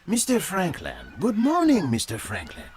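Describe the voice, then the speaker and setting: Deferential yacht captain, very animated. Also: male voice, high voice, normal speed, noisy background. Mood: deferential, courteous. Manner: polite, formal, servile. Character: deferential, formal male yacht captain attending a rich client. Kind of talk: radio drama acting. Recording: music behind the voice.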